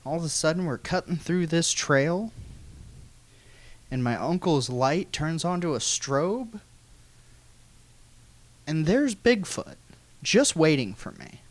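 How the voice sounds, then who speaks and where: An American man telling a funny personal story in an animated, folksy way with some swearing. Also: on the high side, takes it slowly, long pauses, no echo. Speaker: guest storyteller.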